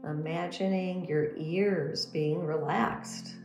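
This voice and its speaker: A woman leading a guided meditation as a teacher, speaking in a gentle, soothing, unhurried instructional manner. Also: low-pitched, steady tone. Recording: roomy echo under background music. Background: meditation music.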